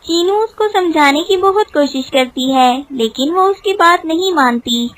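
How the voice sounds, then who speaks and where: A woman's voice: very high-pitched, animated, unhurried, a bit bright, no echo. A woman narrator telling a children's cartoon story.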